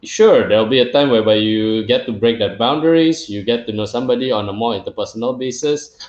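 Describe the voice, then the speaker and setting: A man's voice: medium pitch, fast. Singapore-raised guy hosting a chat, thoughtful then punchy, dull echoey room sound. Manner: thoughtful, reflective, grows emphatic when joking. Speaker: podcast host. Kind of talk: casual interview chat.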